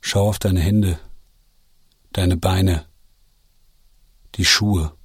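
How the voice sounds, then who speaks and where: A man narrates as a guide, addressing the listener with deliberate instructions. His voice is fairly low and rough-grained, and the delivery is very slow. The sound is close and dry. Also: steady tone, no echo. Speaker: audio walk narrator and guide.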